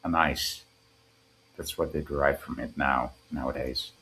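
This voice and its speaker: A man's voice: very deep voice, steady tone, measured pace, bright, forceful tone. A man hosting a livestream with casual chat, hesitant and often repeating his words. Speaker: livestream host.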